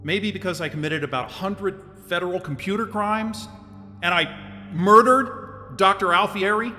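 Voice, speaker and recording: Male lead: weary and sarcastic, high, bright, long reverb. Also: normal speed, faint music behind. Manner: weary, sarcastic and short-tempered. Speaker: lead character. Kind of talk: audio drama acting.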